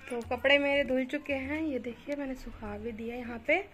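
A young woman with a Rajasthani Hindi accent chats to her viewers in a slightly high voice while doing chores. She is recorded on a phone in an outdoor village courtyard. Background music plays clearly under her voice. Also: fairly even intonation, moderate pace, a bit bright. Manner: chatty, addresses viewers directly. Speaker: vlogger. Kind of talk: casual vlog narration.

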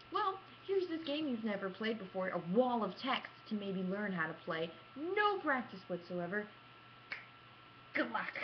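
Casting: young woman, casual theatrical storyteller, medium pitch, lo-fi home webcam recording. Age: young adult. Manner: self-mocking, theatrical, acts out quotes. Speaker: gaming vlogger. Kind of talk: casual animated storytelling to camera. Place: small room at home, indoors. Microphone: computer webcam at arm's length. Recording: dull lo-fi webcam sound with clear noise.

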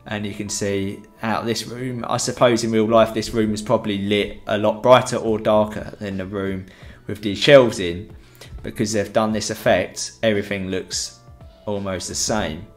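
A man's voice: fairly low pitch, fairly even intonation, moderate pace, few pauses, soft background music. A young man with a headset mic, giving a rambling, chatty photography tutorial in a room at home. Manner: rambling, chatty, many fillers. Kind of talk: casual live-stream tutorial.

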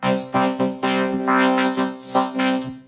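A man's voice: slow pace, faint music behind. A man demonstrating an audio effect, his voice turned robotic and synthetic by a vocoder. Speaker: music production tutorial presenter. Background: loud synthesizer chords.

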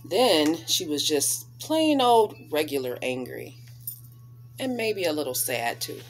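Expressive, slow-paced woman storyteller. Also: sits low, faint music behind. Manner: deliberate, dramatic emphasis on key words. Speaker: storyteller reading a picture book. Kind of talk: expressive read-aloud for children. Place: small living room, indoors.

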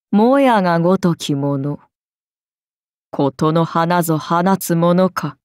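An actress playing a haughty ancient serpent goddess in a video game, speaking in an imperious, archaic and languid way, amused and menacing. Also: female voice, medium pitch, dry recording, studio quality. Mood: puzzled. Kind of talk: video game character voice lines.

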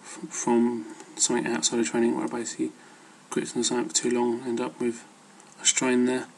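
A man's voice: mid-range voice, monotone, brisk, vocal fry, a little hiss or hum, low-quality recording. A man giving casual, rambling advice as an amateur fitness vlogger, hesitant and loosely worded.

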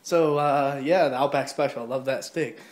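A man's voice: mid-range voice, normal speed. A young man vlogging casually to his phone camera, rambling with lots of fillers and restarts. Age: young adult. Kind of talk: casual vlog chat to camera. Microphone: phone at arm's length.

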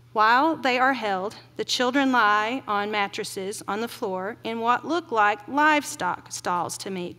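A middle-aged woman giving an earnest keynote sermon from a podium on a large auditorium stage, slowing down to stress grim facts. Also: on the high side, sharp tone. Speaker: keynote speaker. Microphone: podium mic. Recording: long hall reverb.

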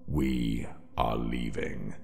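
Solemn hell demon, super gravelly, huge cavern reverb, music under it, formal and menacing. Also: male voice, flat delivery, darkish tone, full character voice. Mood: cold, solemn. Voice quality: very deep, gravelly. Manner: slow, portentous, formal. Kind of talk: audio drama acting.

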